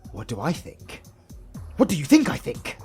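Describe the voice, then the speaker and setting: A man's voice: fairly high pitch, wildly swooping pitch, normal speed, over music. A British man playing a theatrical supervillain in a romantic comedy audio roleplay, swinging between menace and exasperation at the heroine he is smitten with. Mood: menacing, accusing. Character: flustered theatrical male supervillain, smitten with his heroine rival.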